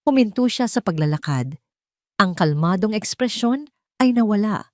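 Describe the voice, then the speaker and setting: A woman's voice: medium pitch, lively intonation, normal speed, dry recording, clean studio sound. A woman narrator reading a dramatic scripted story.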